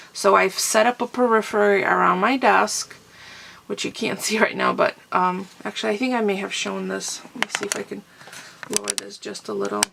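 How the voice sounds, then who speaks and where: A woman's voice: medium pitch, fairly bright tone. Stuffed-up woman chatting at her craft desk. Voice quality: husky, fading voice. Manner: chatty, rambling, clears throat often. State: stuffy, congested voice. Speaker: crafting vlogger answering viewer comments. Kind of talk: casual chat to camera. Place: home craft room desk. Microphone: camera on a tripod nearby.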